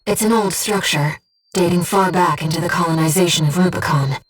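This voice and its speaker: A woman voicing a gentle, composed, earnest disembodied female guide in a video game, speaking in a measured, softly formal and precise way. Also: on the low side, breathy edge, distant mic, poor audio. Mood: composed, focused. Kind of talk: scripted video game voice acting, mission guidance. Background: music.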